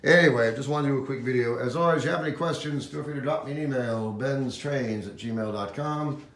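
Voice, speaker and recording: A man hosts a casual hobby video in a plainly echoing room. His voice sits at an ordinary male pitch. He talks straight to his viewers as an informal presenter. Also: brisk, fairly bright tone. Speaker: host of a model train collecting channel. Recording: roomy, echoing home video sound.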